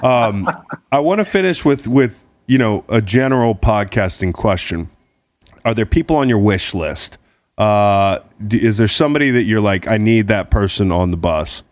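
A man's voice: fairly low pitch, lively intonation, normal speed, no echo, studio quality. A man hosting a podcast, teasing his guest and swearing freely in casual chat. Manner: joking, profane, teasing. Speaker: podcast host.

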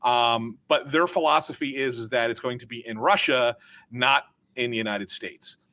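A man, a hockey reporter, explaining news in casual sports talk, measured and cautious with frequent fillers. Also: medium pitch, pushed and bright.

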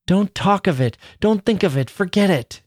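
A man voicing an anxious, tender woman who pleads in a hushed voice in a storybook reading. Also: high voice, lively intonation, moderate pace, no room sound, studio quality, pitched above the performer's own voice. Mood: pleading.

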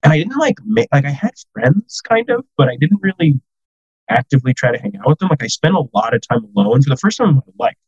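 A man's voice: fairly high pitch, big pitch swings, fast, slightly rough, no echo, highs cut off. A man in his thirties from the United States, a podcast guest telling self-deprecating stories in casual chat, full of "like" fillers.

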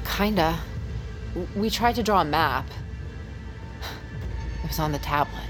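Hesitant, low-voiced female survivor. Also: over music. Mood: uncertain. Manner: halting, informal. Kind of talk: naturalistic audio drama acting.

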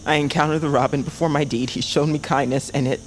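A man playing a desperate, guilt-ridden bird-folk assassin on the run in a fantasy audio drama, speaking in a halting, intense way. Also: fairly high pitch, fairly even intonation, a little hiss or hum, close to an everyday voice. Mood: haunted, halting.

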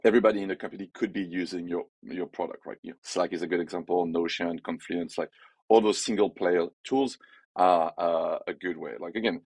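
A man with a French accent and a low voice speaks in a dry, close recording. He gives firm opinions in a casual, rambling way, filling his sentences with "like" and "you know". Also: fairly even intonation, a little fast, darkish tone, breathy edge, no echo. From France. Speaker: podcast guest, head of growth. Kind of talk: casual business conversation.

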